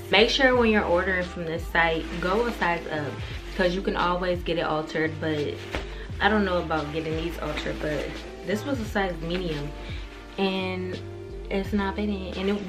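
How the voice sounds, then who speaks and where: A young woman vlogging a fashion try-on in a bedroom, chatting casually and candidly with slang and swearing. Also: on the low side. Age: young adult. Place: carpeted bedroom indoors. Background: music.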